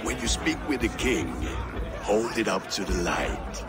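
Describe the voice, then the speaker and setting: Kindly Viking warrior for a game: low, expressive, loud crowd behind. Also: male voice, a little slow. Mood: proud, affectionate. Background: loud music, crowd.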